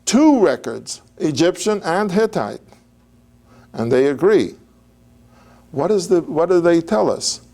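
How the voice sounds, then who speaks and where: A man's voice: a little high, sing-song, slow pace, vocal fry, long pauses, darkish tone. An older man giving an informal, digressive lecture on biblical history, talking conversationally with fillers and restarts.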